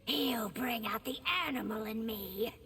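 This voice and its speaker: Feral warrior empress, sultry, higher voice, unhurried, game acting, slightly distant. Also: female voice, fairly bright tone. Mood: teasing menace. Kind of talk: video game fight intro acting.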